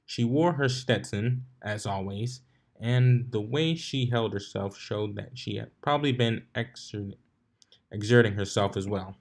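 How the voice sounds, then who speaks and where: A fan fiction reader narrating a story in a medium-pitched voice. The delivery is scripted, with small stumbles along the way. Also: measured pace, a little mellow.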